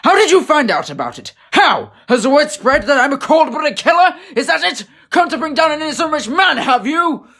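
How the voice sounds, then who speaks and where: An actor with an English accent playing a pompous, defensive rich gentleman in a student radio play, with a blustering, theatrical manner. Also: very high voice, very animated, a little fast, hardly any pauses, heavily acted.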